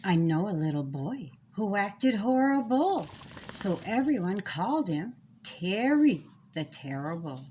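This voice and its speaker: A woman reading a children's storybook aloud in a deliberate, sing-song rhyming way with dramatic pauses, as the author. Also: mid-range voice, very animated, darkish tone, a little hiss or hum.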